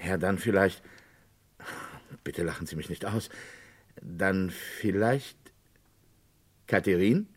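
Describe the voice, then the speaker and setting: An actor playing a village lawyer in a German radio crime drama, speaking in a formal, deliberate, hesitating and discreetly insinuating way. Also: male voice, low voice, breathy edge, full character voice. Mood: shocked, probing.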